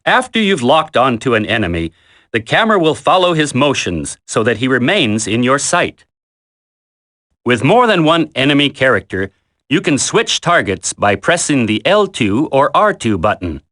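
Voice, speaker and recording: Firm, lively-pitched military game instructor. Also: male voice. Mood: instructive, firm. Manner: instructive, deliberate, commanding. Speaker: tutorial instructor. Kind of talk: scripted video game tutorial narration.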